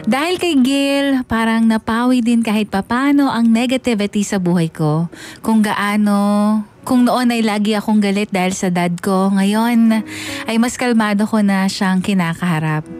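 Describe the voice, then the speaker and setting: Filipina radio storyteller over faint music. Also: female voice, medium pitch, a little slow, a little mellow. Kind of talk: dramatic first-person reading of a listener's letter. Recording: faint music under narration. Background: faint background music.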